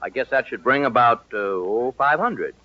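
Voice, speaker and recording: A man's voice: medium pitch, expressive, normal speed, natural voice. An actor playing a sly, money-hungry contractor with folksy salesman patter in an old-time radio comedy. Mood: smug, persuasive. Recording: muffled, noisy old radio recording.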